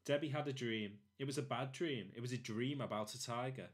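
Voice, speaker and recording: A man narrating a children's storybook expressively, in short, deliberate phrases. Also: medium pitch. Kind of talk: expressive children's read-aloud.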